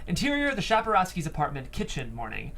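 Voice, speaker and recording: Guy narrating a tv script at a table read, higher male voice, faint noise behind. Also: wildly swooping pitch, quick pace, vocal fry, a bit bright. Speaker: stage directions reader.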